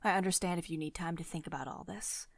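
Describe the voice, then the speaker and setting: A woman's voice: fairly low pitch, fast, gravelly, no room sound. A woman playing a commanding, protective tech CEO who is secretly devoted and tender, in an intimate ASMR roleplay monologue. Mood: tender, hesitant.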